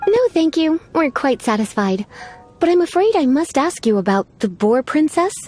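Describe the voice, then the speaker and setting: A woman's voice: sits high, big pitch swings, normal speed, a little mellow, soft background music. An actress playing a flirtatious, teasing witch librarian with a coy, genteel manner in a video game. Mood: businesslike, coy. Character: flirtatious, languid adult witch librarian, teasing, quietly menacing. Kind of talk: video game character acting.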